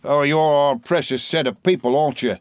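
A man's voice: fairly high pitch, steady tone, fairly quick, dry recording, pitched above the performer's own voice, close to an everyday voice. A man voicing a spiteful, scheming clerk in an audiobook, sneering and threatening with false familiarity.

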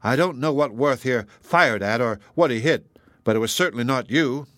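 A level-headed young sailor played by a male storybook reader, plain-spoken and good-humored with a teasing edge. His voice is medium in pitch, recorded dry and close. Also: normal speed, fairly bright tone, no room sound, natural voice. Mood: amused scolding.

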